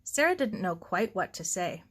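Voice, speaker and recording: A woman's voice: mid-range voice, very animated, moderate pace, breathy edge. A woman reading a story aloud as scripted audiobook narration. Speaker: audiobook reader.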